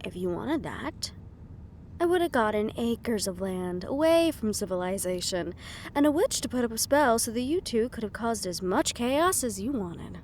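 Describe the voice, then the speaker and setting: A woman's voice: fairly high pitch, animated, normal speed, darkish tone, slight background noise, close to an everyday voice. A woman playing a composed but anxious mafia boss's right-hand assistant in an intimate audio roleplay. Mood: firm, cautioning.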